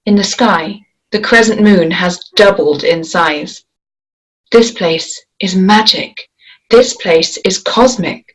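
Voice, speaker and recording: Woman, mid-pitched, measured screenplay table read. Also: slightly rough, low-quality recording. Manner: measured, descriptive reading. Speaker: script reader.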